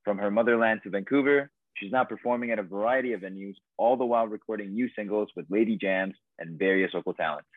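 A man hosting a casual podcast, introducing a guest in a relaxed way with frequent ums. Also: lowish voice, no room sound. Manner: relaxed, lots of ums and fillers. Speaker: podcast host. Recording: tinny phone-like dry audio.

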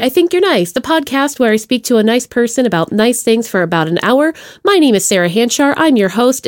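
A woman's voice: sits high, no echo, clean studio sound. A woman hosting a podcast in a chatty, effusive and playful way, addressing listeners directly. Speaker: podcast host. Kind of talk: chatty podcast introduction and plugs.

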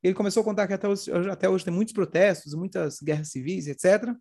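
A Brazilian man, hoarse with a cold, telling religious stories informally and acting out the dialogue as he goes. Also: high voice, fast talker, darkish tone. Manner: acts out dialogue while retelling. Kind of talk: animated informal religious storytelling talk.

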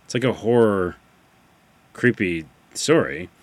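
A man hosting a podcast, chatty and excitable, sitting back from the microphone. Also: on the low side, takes it slowly, dry recording. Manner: chatty, excitable, reads book blurbs aloud. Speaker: podcast host. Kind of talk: casual podcast chat. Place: studio room, sitting back from the mic. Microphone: far from the microphone.

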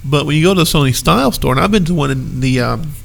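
Gravelly man, stuffed-up nose. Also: a little high, animated, fast. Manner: easygoing, laughs often, rambling asides. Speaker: podcast co-host. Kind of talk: casual chat.

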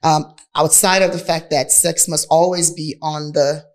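Low-voiced woman podcast co-host, candid and playful. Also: fairly even intonation, unhurried. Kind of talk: casual couples chat.

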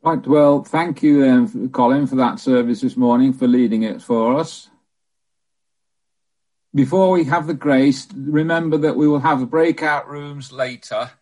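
Guy hosting online church, casual announcements, bit halting, mid-pitched voice. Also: a little mellow, low-quality recording. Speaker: church service host.

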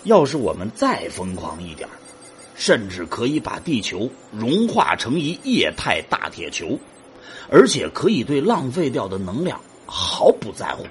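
An actor playing a boastful, defensive man bickering with a friend, husky and colloquial, in a sci-fi audio drama. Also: medium pitch, huge pitch swings, unhurried, slight background noise. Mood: expansive, boastful. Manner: colloquial, grumbling, exasperated.

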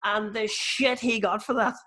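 A man with a Northern Irish accent in casual chat, animated and sweary as he tells stories. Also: high voice, measured pace. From Northern Ireland, near South Armagh.

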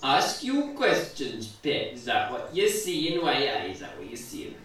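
A man's voice: medium pitch, big pitch swings, unhurried, a bit bright. A man voicing a chatty, agreeable Newcastle venue worker with a put-on Geordie accent, in improvised comedy role-play. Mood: chirpy, bemused. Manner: peppers talk with catchphrases. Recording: echoey, distant mic, faint noise.

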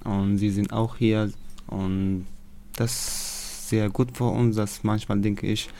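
Halting man, low dark voice. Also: flat delivery, a little hiss or hum. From Afghanistan. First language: not German. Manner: halting learner's German with many fillers and restarts. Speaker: course participant. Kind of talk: interview answers.

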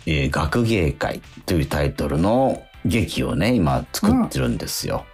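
Low-voiced man chatting, very lively intonation. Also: a little slow, creaky, breathy edge, soft background music. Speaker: radio show host and stage actor. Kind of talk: casual radio talk. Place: remote recording.

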